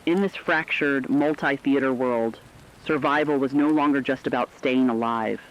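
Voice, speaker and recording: A man reading dramatic scripted fiction narration in a serious, measured manner. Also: mid-range voice. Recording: dull, lo-fi narration track.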